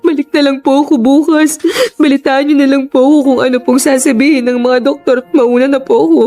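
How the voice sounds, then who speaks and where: An actress in a Tagalog radio drama playing a guilt-ridden, emotional young pregnant wife and daughter. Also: female voice, high voice, fairly even intonation, brisk, dark tone, faint music behind. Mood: tearful remorse. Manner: emotional, pleading, melodramatic.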